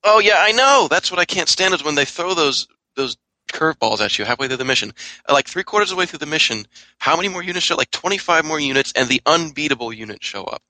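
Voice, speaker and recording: A man's voice: a little high, animated, quick pace, pushed and bright, no echo, rough recording. A man chatting casually about a video game as a panelist on a podcast.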